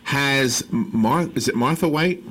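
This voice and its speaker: A man's voice: mid-range voice, takes it slowly, a bit bright. An American man hosting a casual radio chat, questioning and thinking aloud as he talks. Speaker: radio host. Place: radio studio. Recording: faint background noise.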